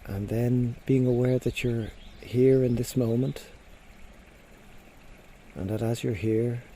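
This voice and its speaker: Male meditation guide, soft mellow tone, medium pitch, gentle and unhurried, pausing often, faint birdsong and nature sounds behind. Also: fairly even intonation, a little hiss or hum. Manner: gentle, unhurried instruction with frequent pauses. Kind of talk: guided body scan meditation.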